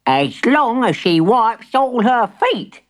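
Storybook granny: elderly, cranky, bossy, high-pitched shrieker with a snappish delivery. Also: female voice, sing-song, slow.

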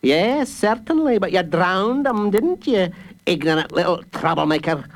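A man's voice: high voice, expressive, measured pace, voice pushed up. A man doing a sneering cruel prison guard. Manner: sneering, snarling.

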